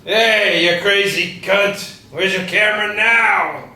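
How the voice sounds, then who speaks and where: An actor playing a drunk, belligerent, foul-mouthed fisherman, shouting slowly in a stage play reading. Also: sits high, exaggerated voice. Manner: shouting, slow and drawn out. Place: small indoor room. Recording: distant roomy recording of a reading.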